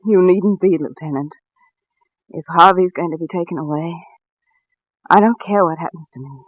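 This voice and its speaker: An actress playing a distraught, devoted wife in a 1940s radio mystery, stammering and overwrought. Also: female voice, lowish voice, normal speed, dry recording, phone-quality sound, lightly acted. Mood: resigned, anguished. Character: distraught, devoted small-town wife under terrible strain. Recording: vintage radio drama recording.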